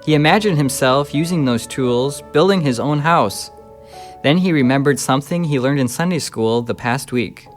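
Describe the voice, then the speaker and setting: A man's voice: medium pitch, normal speed, faint music behind. A man narrating a scripted children's story as a storyteller.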